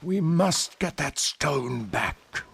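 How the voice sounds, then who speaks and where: A man's voice: on the high side, expressive, very slow, vocal fry, fairly bright tone, slight background noise, full character voice. A man voicing a grave, earnest nature sage and rebel leader in a video game, solemn and dramatic. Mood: dismayed, brooding. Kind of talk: animated video game acting.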